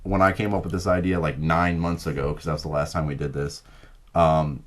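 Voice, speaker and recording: Low-voiced man, joking podcast host. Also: level tone, a little fast. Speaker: podcast host acting as judge. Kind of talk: casual, joking group chat.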